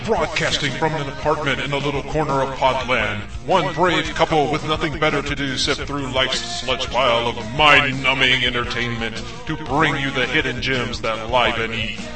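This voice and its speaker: A man's voice: mid-range voice, fairly even intonation, normal speed, few pauses, reverberant, far from the mic, over music. Man with a mock-epic show intro. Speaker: podcast announcer.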